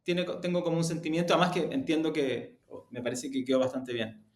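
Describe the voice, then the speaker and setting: A man's voice: fairly high pitch, fairly quick. Chilean man in an echoey room. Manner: reflective, often rephrasing and qualifying himself. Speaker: interview guest, podcast production executive. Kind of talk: conversational interview answers. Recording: roomy, echoey sound.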